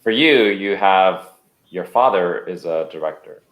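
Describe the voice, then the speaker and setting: A man's voice: low voice, animated, a little slow, fairly bright tone. A man hosting a casual remote interview, informal, restarting his sentences and dropping in short interjections. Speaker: podcast host, interviewer. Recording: roomy, echoing remote recording.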